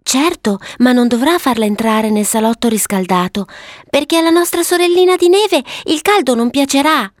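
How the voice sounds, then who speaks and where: Woman acting eager little girl, high-pitched voice. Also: moderate pace, dry recording, studio quality, natural voice. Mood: happily instructing. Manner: excited, earnest, instructing. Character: Violetta, sweet eager little girl, bossy and wise. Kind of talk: storybook character voice.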